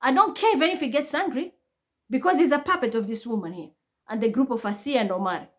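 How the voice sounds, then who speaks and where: A woman's voice: high-pitched, fast. A woman with a Kenyan accent giving a blunt, heated monologue, swearing freely and building to outbursts. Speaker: online commentator. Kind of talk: impassioned monologue.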